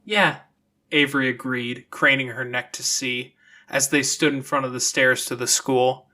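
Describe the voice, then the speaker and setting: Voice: mid-range voice, level tone, normal speed, sharp tone. An audiobook narrator reading scripted fiction in a storytelling style.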